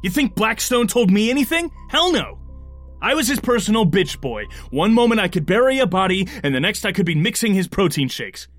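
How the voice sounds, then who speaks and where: A man's voice: high voice, very animated, brisk, a bit bright, no room sound, faint music behind. An actor playing a bitter, sarcastic, foul-mouthed henchman of a ruthless boss in an audio drama. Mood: bitter, agitated. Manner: sardonic, profane.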